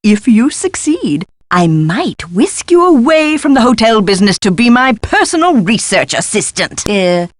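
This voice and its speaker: Theatrical, fussy lady scholar, swooping pitch. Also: very animated, measured pace, few pauses, no room sound. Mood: chirpy, excited, then hesitant, sly. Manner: gushing, pompous, theatrical, precise diction. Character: eccentric, fussy, theatrical woman scholar of French history. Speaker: voice actor in an adventure game. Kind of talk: scripted video game dialogue.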